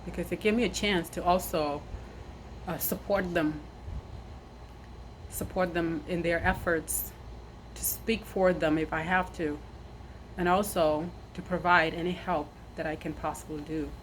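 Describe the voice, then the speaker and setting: A woman in her thirties from South Sudan, a nurse, speaking earnestly to camera outdoors in the wind, measured and repeating phrases for emphasis. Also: fairly low pitch, fairly even intonation. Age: 30s. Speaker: nurse and army veteran sharing her story. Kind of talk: personal address to camera. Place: outdoors, windy. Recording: noisy outdoor recording with wind.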